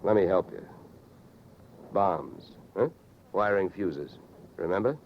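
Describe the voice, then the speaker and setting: Hardboiled radio detective, dull vintage sound. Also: male voice, sits low, animated, takes it slowly, a little hiss or hum, heavily acted. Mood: sardonic, pressing. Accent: American. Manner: clipped, sardonic, pushy. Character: hardboiled, wisecracking male freelance insurance investigator. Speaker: lead actor and first-person narrator. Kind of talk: 1950s American radio detective drama acting. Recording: vintage radio recording, music behind.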